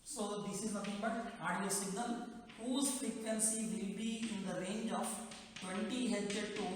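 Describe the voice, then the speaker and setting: A man with an Indian English accent explains physics exam answers, often repeating "okay" and "nothing but" with many "uh" fillers. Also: high-pitched. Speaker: physics teacher. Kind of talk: exam answer walkthrough lesson. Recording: echoey room, voice from far off, faint noise.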